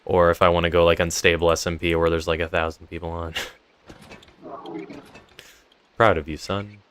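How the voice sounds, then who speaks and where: Casting: young male streamer, deep voice, strained, rambly chat to viewers, faint noise behind. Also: little pitch movement, normal speed, fairly bright tone. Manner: rambling, reads chat aloud and answers it. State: voice feeling strained. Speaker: streamer running a game server. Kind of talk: casual live-stream chat.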